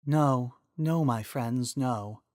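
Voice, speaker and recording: Man voicing a soft, gentle female relic spirit. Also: mid-range voice, no echo, studio quality. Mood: gentle, firm. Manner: calm, measured, reassuring. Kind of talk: dramatic fantasy storybook reading.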